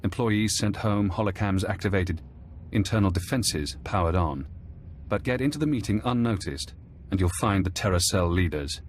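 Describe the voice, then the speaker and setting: Low, clinical male spymaster. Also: expressive, a little hiss or hum. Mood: measured, clinical. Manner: measured, precise, detached. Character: calm, clinical male intelligence handler and tech expert. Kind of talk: scripted game cutscene acting.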